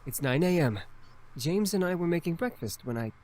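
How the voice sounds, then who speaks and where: An actor playing a shy, gentle, concerned young man in a video game, with a hesitant, soft-spoken manner. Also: a little high, lively intonation, normal speed, natural voice.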